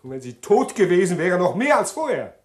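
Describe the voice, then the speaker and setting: An actor playing a condemned male prisoner in a 1960s German radio drama, agitated and halting as he pleads to confess. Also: high-pitched, sing-song. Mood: tormented, confused. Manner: agitated, halting, repeating himself.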